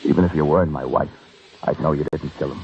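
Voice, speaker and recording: An actor playing an intense, anguished police detective with an urgent, clipped manner in an old radio crime drama. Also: male voice, a bass voice, fairly even intonation, quick pace, darkish tone. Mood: tender, weary. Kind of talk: mid-century radio crime drama acting. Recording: muffled, hissy old radio recording.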